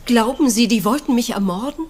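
An actress playing an uneasy lady of a country house, full of foreboding, with a husky voice in a radio crime drama. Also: on the high side, a little fast, darkish tone, close to an everyday voice.

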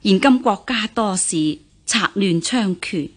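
Middle-aged lady of the house, medium pitch, dignified, admonishing, Hong Kong Cantonese, period radio drama. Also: a little slow, breathy edge. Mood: grave, regretful. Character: stern but caring middle-aged mother, official's wife. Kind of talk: radio drama acting in historical costume piece.

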